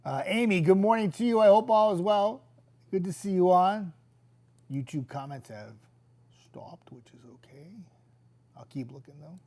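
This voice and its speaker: Young male weatherman, hoarse from a coming cold, relaxed live-chat host with a higher voice. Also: normal speed, long pauses. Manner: chatty, easygoing, trailing off into quiet asides. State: losing his voice, getting sick. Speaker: TV meteorologist hosting a viewer chat. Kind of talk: casual live-stream chat reading viewer comments.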